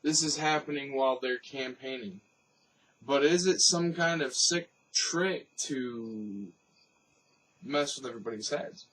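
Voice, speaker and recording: A man's voice: mid-range voice, measured pace, fairly bright tone, far from the mic, poor audio. A man giving off-the-cuff news commentary, rambling and thinking aloud. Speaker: commentator and host.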